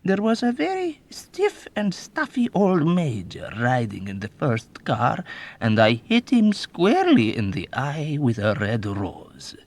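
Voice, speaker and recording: A wickedly amused, extremely old scoundrel, put on by a male narrator in a spoken-word story. His voice rides high and unhurried, with silky, teasing pauses and a sweeping melodic range. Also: huge pitch swings, a little mellow. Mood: suave, mocking. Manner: suave, teasing, drawn-out delivery. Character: sly villainous 107-year-old man, mocking.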